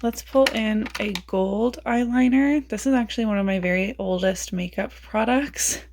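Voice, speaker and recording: A woman beauty vlogger chatting casually about her makeup, raspy as she loses her voice and thinks aloud in a self-mocking way. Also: on the high side, normal speed, a little mellow, slight background noise.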